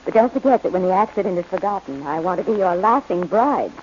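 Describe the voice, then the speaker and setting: A woman's voice: on the low side, animated, quick pace, lightly acted. An actress playing a gleeful, scheming new widow who coos affectionately to her lover in a 1940s radio melodrama. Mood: cooing, gleeful. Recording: muffled, hissy old radio recording.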